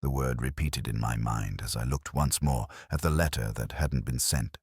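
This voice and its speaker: A man narrating a first-person detective mystery in a measured, literary, brooding manner. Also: a bass voice, slightly rough, dry recording, clean studio sound.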